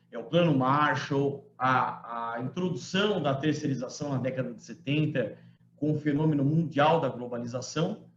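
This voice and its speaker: A man in his forties delivers a law lecture over a video call, talking conversationally and tossing in little tag questions. His voice sits a bit high. The sound is roomy and somewhat muffled, with faint ambience underneath. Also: a little hiss or hum. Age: 40s. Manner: conversational, frequent tag questions, emphatic quoting. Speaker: law professor giving a lecture. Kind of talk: online lecture to students. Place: home room. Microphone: computer mic on a video call.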